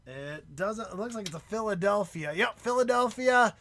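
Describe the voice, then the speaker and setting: A man hosting a live stream in casual chat, excitable and prone to loud shouts and laughter, and losing his voice. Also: high voice, moderate pace. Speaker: livestream host.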